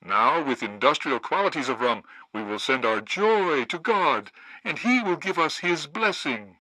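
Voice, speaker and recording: A man's voice: on the high side, expressive, unhurried, sharp tone, no room sound, exaggerated voice, rough recording. A man playing a boozy, pompous island preacher with a grandiose, preachy manner on game radio. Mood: exalting. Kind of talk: satirical game radio acting.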